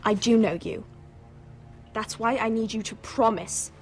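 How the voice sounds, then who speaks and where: An actress playing an earnest, fierce young noble girl in a video game drama, halting yet insistent. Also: on the high side. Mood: urgent, vengeful. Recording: music behind the voice.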